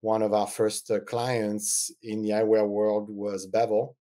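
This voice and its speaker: A man's voice: lowish voice, monotone, a little slow, warm tone. A middle-aged man from France with a French accent, a podcast guest talking in a rambling, hesitant way with many ums. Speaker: guest, eyewear designer. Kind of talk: casual interview chat.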